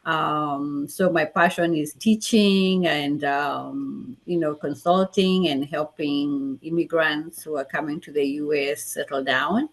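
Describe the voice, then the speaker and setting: Lower-pitched woman with a Kenyan accent. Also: fairly even intonation, takes it slowly, few pauses. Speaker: interview guest. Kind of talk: conversational self-introduction.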